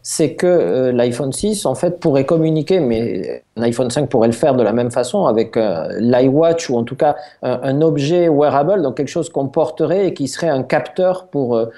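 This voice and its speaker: A man on a tech panel explaining his view in a measured, matter-of-fact way. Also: medium pitch, animated, mellow. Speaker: panel guest. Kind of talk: casual panel discussion.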